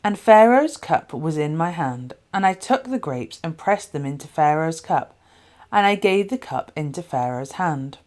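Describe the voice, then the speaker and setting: A woman's voice: low voice, expressive, unhurried, darkish tone. A woman reading the part of a male royal servant eagerly recounting events in a scripture story, with emphatic storytelling.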